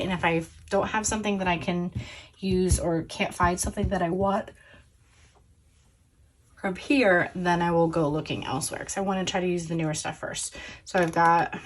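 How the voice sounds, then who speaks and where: Hoarse chatty woman, slightly low. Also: slight background noise. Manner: chatty, rambling, lots of fillers and self-corrections. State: hoarse, recovering from illness. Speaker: scrapbooking crafter showing supplies. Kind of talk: casual show-and-tell chat. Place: home craft desk.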